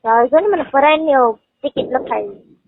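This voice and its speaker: A woman's voice: sits high, lively intonation, moderate pace, gravelly, a little hiss or hum. A woman with a Gujarati accent chatting casually and intimately on a phone call, affectionate and fond of pet names. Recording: tinny, narrow phone-call line.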